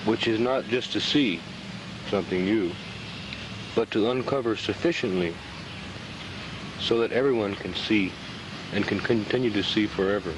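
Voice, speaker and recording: A man explaining a subject informally and haltingly, recorded outdoors on 1970s reel-to-reel video. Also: fairly low pitch, noisy background. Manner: halting, with frequent throat clearing. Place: outdoors beside a pond.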